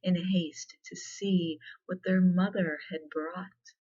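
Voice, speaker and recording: A woman speaking softly and deliberately in a soothing ASMR storytelling style. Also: on the low side, fairly even intonation, slightly rough, muffled recording. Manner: hushed, slow, deliberate, soothing. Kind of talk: soft-spoken ASMR fairy tale reading.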